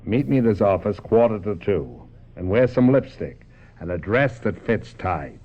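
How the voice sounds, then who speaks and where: An actor playing a hard-nosed, bullying talent agent in a radio drama, brusque and slangy. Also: male voice, fairly low pitch, animated, moderate pace, natural voice. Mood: gruffly persuasive. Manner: brusque, tough-talking. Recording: dull, noisy old radio recording.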